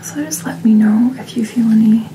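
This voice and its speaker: A woman speaking in a soft, breathy whisper, gently and reassuringly playing a doctor in an ASMR roleplay, close to the microphone. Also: fairly high pitch, normal speed, a little hiss or hum, low-quality recording. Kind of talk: soft-spoken ASMR medical checkup roleplay.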